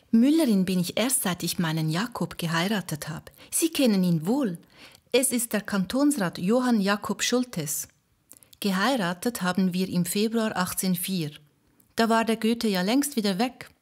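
A woman's voice: mid-range voice, lightly acted. Woman playing a chatty historical Swiss miller's wife. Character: cheerful miller's wife from a historical Swiss village, recounting her youth. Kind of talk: scripted audio drama monologue.

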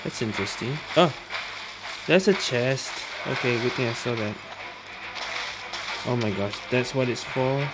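A woman's voice: extremely low pitch, a little slow, long pauses, very noisy. A woman giving casual gameplay commentary, musing aloud in hesitant phrases. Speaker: gaming streamer. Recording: game music behind commentary.

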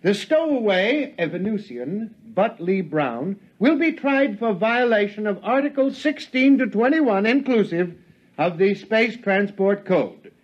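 An actor playing a fussy, officious but weak-willed ship's captain, hesitant and pleading, in 1950s radio drama style. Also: male voice, sits high, lively intonation, measured pace. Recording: dull 1950s radio recording.

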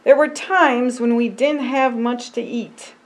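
Unhurried older woman reading a storybook. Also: on the high side, fairly even intonation. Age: 60s. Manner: deliberate, simple phrasing, explaining as to a child. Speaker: pastor and storytime reader. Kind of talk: reading a picture book aloud with asides to a child. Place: indoor storytime set by a fireplace.

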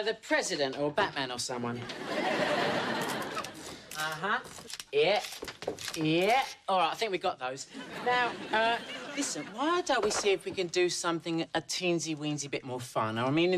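A man's voice: fairly high pitch, animated, moderate pace, background noise, lightly acted. An actor playing a flamboyant young male photographer who fawns over his subject and calls everyone darling. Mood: coaxing. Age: young adult. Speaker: magazine photographer. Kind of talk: sitcom acting.

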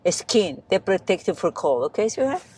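Female co-host, 40s, casual phrasing, mid pitch, faint noise behind. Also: very animated, moderate pace, darkish tone. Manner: non-native phrasing, short tag questions. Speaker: vlog co-host. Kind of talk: casual chat. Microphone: clip-on lapel mic.

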